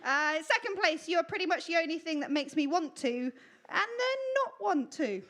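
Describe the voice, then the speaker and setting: A woman with a high voice presents at a live storytelling event. She reads out entries and announces in an informal style, with a giggly, jokey and self-deprecating manner. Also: expressive, moderate pace, a bit bright. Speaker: presenter announcing writing contest winners.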